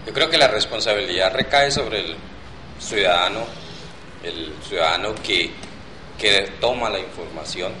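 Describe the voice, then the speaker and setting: Low, forceful man. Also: measured pace, a little hiss or hum, poor audio. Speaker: panel guest. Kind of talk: TV panel debate.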